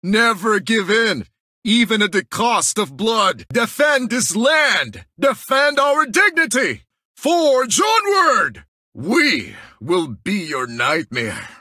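A proud game warrior chief, high pitched and slow, forceful battle cries, big swings in tone. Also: male voice, big pitch swings, no room sound, studio quality, exaggerated voice. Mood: battle shout, then grim menace. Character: proud defiant warrior chieftain defending his homeland. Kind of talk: video game hero voice lines.

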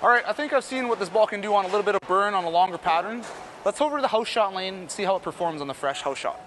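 A man in his thirties giving relaxed running commentary while bowling in a large indoor bowling alley, talking through each shot and adjustment. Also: sits high, rapid-fire, a little hiss or hum, poor audio. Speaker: bowling ball reviewer.

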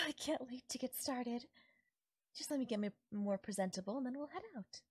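A woman acts out a doting yandere fairy for an ASMR roleplay. The voice is breathy and very close and dry, with a sweet, possessive lilt that can sink toward a whisper. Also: on the high side, animated, moderate pace, no room sound. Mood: sweet, coaxing. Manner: sweet, breathy, lilting, sometimes dropping to a whisper. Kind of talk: intimate ASMR audio roleplay.

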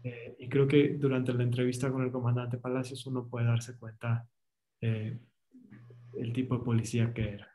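A man with a Mexican accent, a native Spanish speaker, giving hesitant, halting remarks as a filmmaker in a panel discussion on a video call. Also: mid-range voice, little pitch movement, warm tone. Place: indoor room, on a video call. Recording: roomy, echoing video call.

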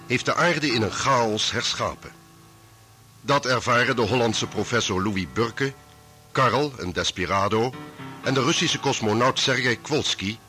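A man's voice: mid-range voice, bright, forceful tone. A man narrating a radio serial in a scripted recap style. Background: music under the voice.

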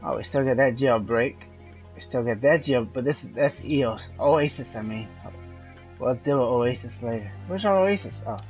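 A man's voice: medium pitch, low-quality recording. A man giving casual, blunt gameplay commentary, talking as if to himself. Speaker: video game player.

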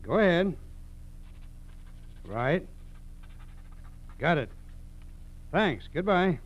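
An actor playing a businesslike, courteous FBI agent in a 1940s radio drama, speaking in clipped phrases. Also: male voice, on the high side, sing-song, extremely slow, full character voice. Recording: dull, hissy 1940s radio recording.

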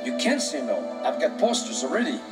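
A man's voice: medium pitch, very animated, fairly quick, over loud music, lightly acted. A man playing a cocky, wisecracking fighter in a video game, brash and theatrical. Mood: playful. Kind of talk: fighting game character dialogue.

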